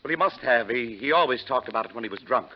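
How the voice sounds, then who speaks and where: A man's voice: mid-range voice, animated, quick pace, lightly acted. A man playing an evasive, guilty schemer in a 1940s radio crime drama, clipped and defensive. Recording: muffled, noisy 1940s radio recording.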